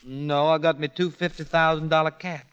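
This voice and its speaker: An actor playing a sly, folksy minstrel-show comic in exaggerated stage dialect, broad vaudeville radio comedy on an old recording. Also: male voice, on the high side, little pitch movement, moderate pace, natural voice. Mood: sly, jokey. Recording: noisy, dull 1940s radio recording.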